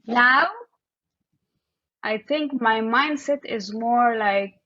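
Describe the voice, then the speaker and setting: A woman's voice: a little high, bright, forceful tone. Interview guest: woman with an Egyptian accent, conversational and searching for words, recorded muffled and a bit roomy. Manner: halting, repeats "like" as she thinks aloud.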